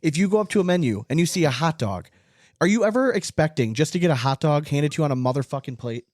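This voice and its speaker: A man's voice: on the high side, lively intonation, fast, darkish tone, dry recording, clean studio sound. A man hosting a podcast with casual, joking banter, swearing freely and arguing his points. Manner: profane, argumentative. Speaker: podcast host.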